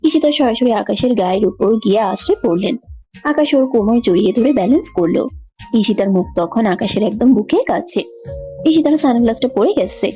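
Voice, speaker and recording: High-pitched woman narrator over music. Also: expressive, brisk, warm tone, slightly rough. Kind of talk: scripted story narration.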